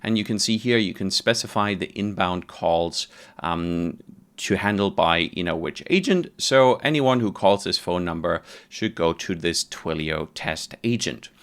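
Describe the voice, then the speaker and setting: A man with a low, smooth voice walks through a software tutorial. He keeps it informal and conversational, explaining each step as a presenter would to fellow developers. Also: a little slow. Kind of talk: casual software tutorial narration.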